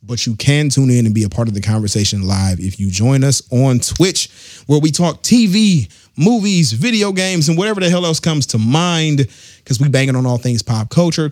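A man hosting a casual pop culture podcast, slangy and animated, laughing often. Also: medium pitch, no room sound, studio quality. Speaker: podcast host. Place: studio or home studio, live on stream.

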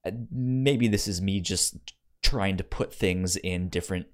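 A man co-hosting a podcast, chatting casually about books in a halting way, often stammering and repeating words. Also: low voice. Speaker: podcast co-host. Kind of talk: casual book discussion.

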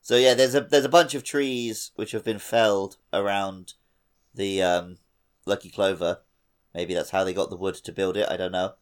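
A man co-hosting a casual gaming podcast in a somewhat low voice. He explains things step by step in an easygoing way, with relaxed fillers. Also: moderate pace, smooth. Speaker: podcast co-host.

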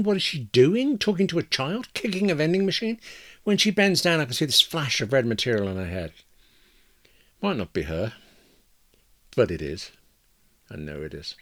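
A man's voice: medium pitch, a bit bright. A man voicing a bitter, anxious woman in a dramatic first-person story, with a wry, agitated, self-interrupting manner. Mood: anxious, fixated. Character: bitter, anxious woman stewing after losing her job.

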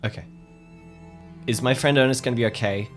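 A man's voice: medium pitch, fairly quick, soft background music. A man playing in an improvised audio drama, moving between hesitant, earnest dialogue and narrating his character's actions.

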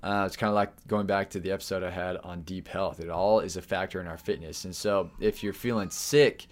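Young guy podcasting, real low voice, lots of ums and restarts. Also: fairly even intonation, a little fast. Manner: rambling, frequent fillers and restarts. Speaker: podcast host. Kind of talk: casual solo podcast talk.

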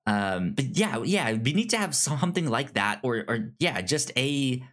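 A man co-hosting a casual film discussion podcast, speaking haltingly and often stammering over repeated syllables. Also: medium pitch, big pitch swings, fairly bright tone. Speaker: podcast co-host.

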